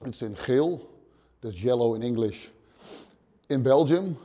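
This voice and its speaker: Thirties Dutch man, gym owner, blunt halting interview talk, mellow dark voice, dim gym. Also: medium pitch, expressive. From Netherlands. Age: 30s. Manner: plain, blunt, swears casually, short halting phrases. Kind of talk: casual interview answers.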